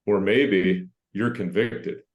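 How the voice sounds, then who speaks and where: A man's voice: fairly low pitch, fairly even intonation, slow pace, phone-quality sound. A man playing a teasing, wisecracking TV crew colleague with a dry manner at a comedy table read. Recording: roomy, echoing table-read sound.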